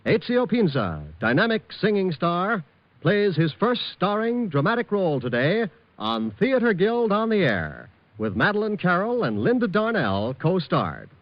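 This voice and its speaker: A man's voice: high voice, wildly swooping pitch, measured pace, dry recording. A man announcing network programs in a mid-century radio promotional style on an old, slightly dull recording.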